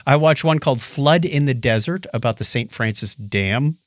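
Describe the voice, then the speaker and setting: A man's voice: mid-range voice, moderate pace, no room sound, studio quality. A man hosting a podcast, casually explaining and telling stories in a conversational way. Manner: explanatory, storytelling. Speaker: podcast host. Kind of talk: casual chat.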